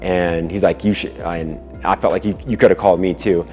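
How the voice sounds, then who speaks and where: A man's voice: low-pitched, fairly even intonation, a little fast, darkish tone. A man telling a personal story in a casual, earnest, conversational way, as a video creator would. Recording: long hall reverb, music behind. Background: music.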